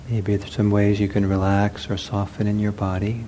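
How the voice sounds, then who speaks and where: A man teaching a guided meditation in gentle, soothing short phrases with long pauses. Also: on the low side, flat delivery, a little hiss or hum.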